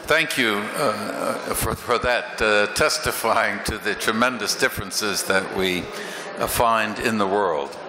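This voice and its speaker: A man's voice: on the low side, background noise. Older man moderating in an echoey hall. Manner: measured, many pauses and fillers. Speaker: panel moderator. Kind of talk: conference panel discussion. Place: large conference hall stage. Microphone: table microphone.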